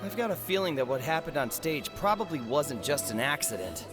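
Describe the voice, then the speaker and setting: A man's voice: fairly high pitch, sing-song, fairly quick, music in the background. A man voicing a male spectator who speaks up as an eager, emphatic witness in a game cutscene. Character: male opera spectator near the box.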